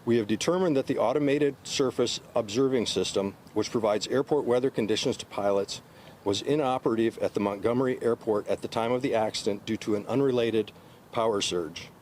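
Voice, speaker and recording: A man's voice: lowish voice, fairly quick, darkish tone, a little hiss or hum. A man speaking as an investigator, giving a formal, factual statement at an outdoor briefing. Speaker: accident investigator.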